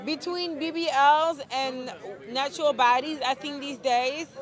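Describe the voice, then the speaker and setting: A woman's voice: high voice, fairly even intonation, measured pace. A young woman from France, an outspoken and candid guest answering questions in a casual street interview outdoors on a city street.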